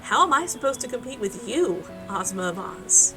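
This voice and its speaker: A woman playing a spirited, warm-hearted girl adventurer in an audio drama, conversational, wry and earnest. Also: fairly high pitch, sing-song, normal speed, faint music behind. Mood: earnest, teasing. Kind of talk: naturalistic audio drama acting.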